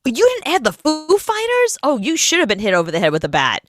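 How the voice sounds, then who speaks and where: A woman's voice: sits high, huge pitch swings, a little fast. A woman co-hosting a radio show with teasing, sarcastic remarks. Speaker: radio co-host.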